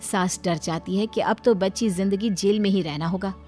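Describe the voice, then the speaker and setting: A woman's voice: mid-range voice, moderate pace, mellow. Woman narrating cartoon tales, music behind. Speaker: storyteller. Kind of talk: scripted cartoon story narration.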